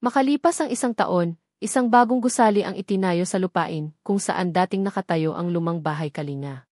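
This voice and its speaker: A woman reading a scripted dramatic story in a measured, solemn storytelling manner. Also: lowish voice, a little mellow, no room sound, clean studio sound. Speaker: story narrator.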